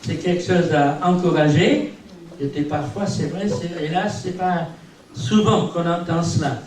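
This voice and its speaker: Older preacher in an echoey hall. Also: male voice, on the high side, measured pace, darkish tone, far from the mic. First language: English. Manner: rambling, peppered with "eh bien" and "hein". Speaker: visiting preacher. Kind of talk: sermon. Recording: very echoey hall from afar, faint hiss.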